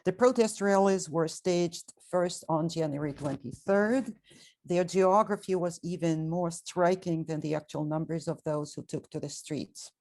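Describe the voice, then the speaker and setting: A hoarse middle-aged woman delivers a prepared lecture at a measured pace. Her voice sits low and sounds mellow and dark. She keeps it factual, with small fillers between phrases. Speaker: guest lecturer. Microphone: video call.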